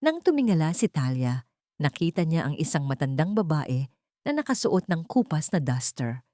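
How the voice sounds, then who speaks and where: A woman with a fairly low voice narrates a scripted drama. Her delivery is theatrical storytelling, recorded close and dry like a studio voice-over. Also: big pitch swings, normal speed, dark tone, dry recording.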